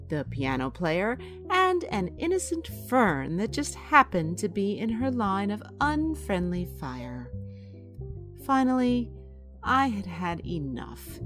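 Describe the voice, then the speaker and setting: A woman playing a kindly, wry married friend in an audio drama, both in dialogue and as storyteller, with measured delivery. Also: mid-range voice, very animated, a bit bright, soft background music. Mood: wry narration. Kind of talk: audio drama acting and narration.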